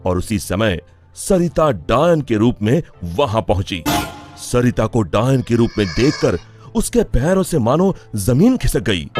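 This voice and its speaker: A man narrating a scripted animated story in a dramatic storytelling style. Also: medium pitch. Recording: music under the voice.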